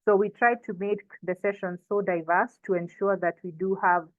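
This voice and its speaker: A woman's voice: mid-range voice, steady tone, normal speed, no room sound. A woman with a Kenyan accent, informally hosting and moderating an online session and introducing panelists. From coastal Kenya. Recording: thin phone-like online call audio.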